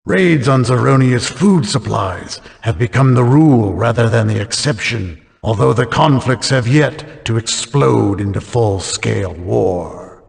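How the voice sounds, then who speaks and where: An actor playing a solemn storyteller, giving measured scripted narration for a fantasy video game in a reverberant sound. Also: male voice, medium pitch, expressive, creaky. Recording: long hall-like reverb.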